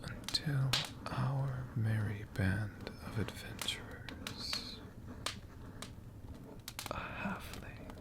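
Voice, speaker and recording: A man in a friendly dragon persona, telling stories in a slow, hushed ASMR whisper with playful asides to the listener. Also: lowish voice.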